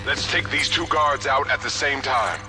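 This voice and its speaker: An actor playing a blunt, principled male mercenary in a video game, plain-spoken and steady. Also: mid-range voice, moderate pace, lightly acted, low-quality recording. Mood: determined. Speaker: mercenary soldier. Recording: game cutscene with loud music.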